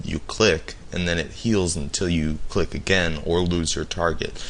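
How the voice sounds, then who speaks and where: A low-pitched male voice, a little hoarse as if losing his voice, delivers a prepared listener tip. It is a homemade recording with faint background noise. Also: fairly bright tone. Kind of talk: semi-scripted audio message to a show.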